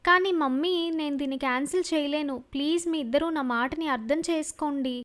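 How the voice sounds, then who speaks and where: A woman's voice: high voice, a little fast, fairly bright tone. A woman voicing a jealous, spiteful young woman in a cartoon story. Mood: pleading.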